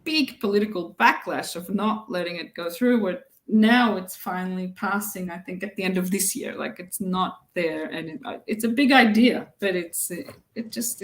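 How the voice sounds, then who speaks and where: A woman's voice: medium pitch, normal speed. A woman speaking as an interview guest, explaining things casually and hesitantly, thinking aloud in fragments. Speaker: interview guest, press club president.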